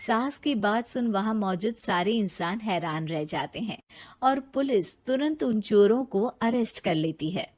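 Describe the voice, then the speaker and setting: A woman's voice: medium pitch, expressive, unhurried, darkish tone. A woman reading scripted narration for a moral story as its narrator.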